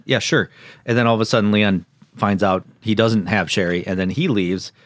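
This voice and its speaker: Low-voiced man, jokey podcast co-host. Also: fairly even intonation, moderate pace, no echo. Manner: jokey, prone to laughing. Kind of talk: casual recap read from notes with joking banter.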